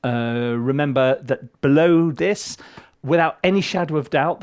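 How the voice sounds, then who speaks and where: A man giving a casual video tutorial as a composer and tutor, with a playful, rambling and animated way of talking. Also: medium pitch. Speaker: film composer and music tutor.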